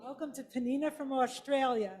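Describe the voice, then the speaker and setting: High-voiced woman from Brooklyn, chatty service leader greeting newcomers. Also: a little fast, darkish tone. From Brooklyn, New York. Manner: chatty, informal, greets people by name. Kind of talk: casual welcoming of a congregation. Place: prayer hall with attendees and online viewers.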